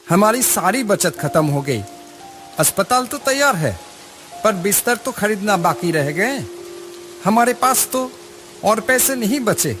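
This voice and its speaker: A man's voice: high voice, animated, a little slow, a bit bright, faint music behind. A man voicing a worried, respectful village man in a cartoon story, with a plaintive manner. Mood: downcast, worried. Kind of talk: Hindi cartoon story acting.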